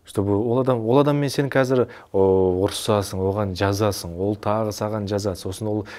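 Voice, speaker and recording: A young man from Kazakhstan talks through his view in a low, measured voice. He reasons step by step and sometimes restarts a word. The sound is close and clean from a studio lapel mic. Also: steady tone, a little mellow. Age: young adult. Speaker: podcast guest. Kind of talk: casual studio talk.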